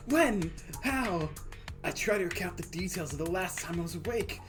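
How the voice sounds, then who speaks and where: A woman's voice: on the low side, expressive, a little slow, over music, pitched above the performer's own voice. A woman voicing a dazed, anxious kidnapped student, narrating tense first-person thoughts in a visual novel. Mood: confused, alarmed.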